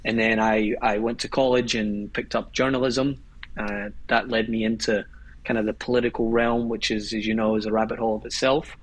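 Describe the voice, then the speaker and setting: A Scottish man in his thirties with a husky, breathy voice talks as a podcast guest. He speaks in a relaxed, meandering way, restarting his sentences as he goes. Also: lowish voice, a little fast, poor audio. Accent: Scottish, Glasgow. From Scotland. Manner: relaxed, rambling, with restarts and laughs. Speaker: guest filmmaker. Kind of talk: casual podcast chat.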